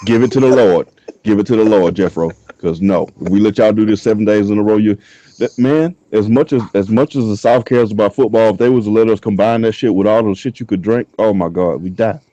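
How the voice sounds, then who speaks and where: A man in his thirties from the US South trades rough, laughing stories on a podcast. His voice sits low and mellow. The recording is dry and a little dull. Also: fairly quick, no echo. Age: 30s. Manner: loose, profane storytelling with frequent laughs. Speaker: podcast co-host. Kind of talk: casual chat.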